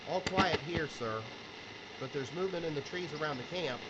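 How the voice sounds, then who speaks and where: An actor playing an uneasy, obedient soldier giving a low-key report over a field radio in a war drama. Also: male voice, normal speed, a crowd in the background. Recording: muffled radio, noisy din behind.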